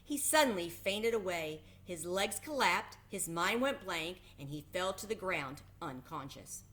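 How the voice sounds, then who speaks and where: A woman reading a children's story aloud as an expressive narrator. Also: on the low side, measured pace.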